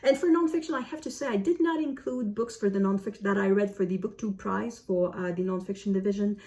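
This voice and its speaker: A woman talking casually as a book vlogger reviewing to camera, often pausing and rephrasing as she goes. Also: mid-range voice, dark tone.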